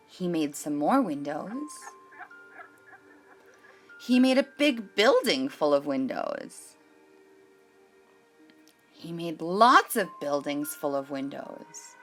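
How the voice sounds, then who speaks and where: A woman hosting a children's storytime, reading a picture book aloud expressively and addressing young listeners. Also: on the low side.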